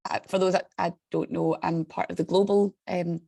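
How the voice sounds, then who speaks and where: A woman's voice: fairly low pitch, steady tone, moderate pace, vocal fry, warm tone, no room sound. A woman speaking on a video call in a chatty, enthusiastic way. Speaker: staff member of an international alliance. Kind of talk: online meeting contribution.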